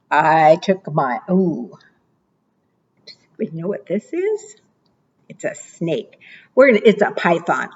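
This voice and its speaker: A woman librarian reading picture books aloud to preschoolers, with expressive, animated delivery, asking the children questions and praising their answers. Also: lo-fi sound. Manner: expressive, animated read-aloud with playful pitch jumps.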